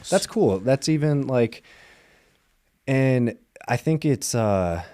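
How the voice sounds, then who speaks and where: A young man, a fighter from the Midwest, chatting as a podcast guest in a relaxed, rambling way full of fillers. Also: mid-range voice, takes it slowly, no echo. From Madison, Wisconsin. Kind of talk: casual interview chat.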